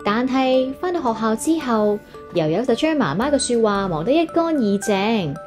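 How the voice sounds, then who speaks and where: Woman, Hong Kong Cantonese accent, expressive picture-book narration for kids, very varied intonation, light music. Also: fairly high pitch, normal speed. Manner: expressive, addressing young children. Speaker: children's storyteller. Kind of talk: read-aloud children's story narration.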